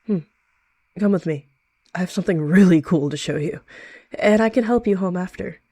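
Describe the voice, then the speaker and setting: A woman's voice: fairly low pitch, normal speed, warm tone, no room sound. A woman voicing a scheming evil rogue cat with a casual, falsely friendly and detached way of talking.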